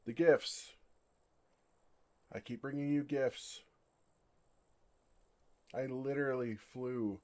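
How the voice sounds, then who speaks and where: A man voicing an ancient, gentle and oblivious dragon in an ASMR roleplay, with a halting, bewildered and earnest delivery. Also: mid-range voice.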